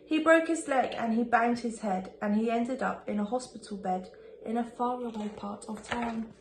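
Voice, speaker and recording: Female storybook reader, higher-pitched, sing-song and expressive, teacherly delivery for young children, recorded in a roomy space. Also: moderate pace. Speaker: primary school teacher reading aloud. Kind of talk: expressive children's picture-book reading. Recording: echoey room with faint background noise.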